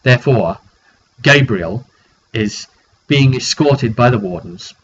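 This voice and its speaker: A British man giving a casual, rambling recap of a roleplay game session, often halting mid-sentence as he tells the story. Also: medium pitch, lively intonation, slightly rough. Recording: dull lo-fi recording with background noise.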